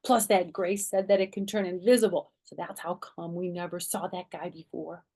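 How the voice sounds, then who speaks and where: A woman voicing an excitable, stubborn little girl from a storybook, speaking in childish grammar with emphatic insistence. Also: fairly low pitch, normal speed. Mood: pleading.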